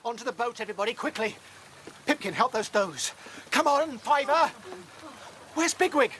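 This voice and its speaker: An actor playing an earnest, courteous rabbit leader in a radio play, directing the others. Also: male voice, very high-pitched, animated, moderate pace, sharp tone, a little hiss or hum, exaggerated voice. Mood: urgent.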